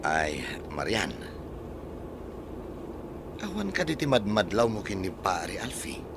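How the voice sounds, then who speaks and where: A man's voice: lowish voice, huge pitch swings, slow pace, gravelly, exaggerated voice. An actor playing a frank married man in a radio drama, speaking with a Filipino accent. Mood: confiding. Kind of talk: Philippine radio drama acting. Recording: loud vehicle and street noise. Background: vehicle ambience.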